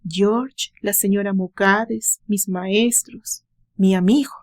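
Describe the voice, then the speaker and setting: A woman's voice: medium pitch, fairly even intonation, slow, lightly acted. A woman voicing a resentful, sorrowful young man in an audio novel monologue. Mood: grateful, pained. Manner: plaintive, sorrowful. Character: wounded, resentful young man recalling his absent father.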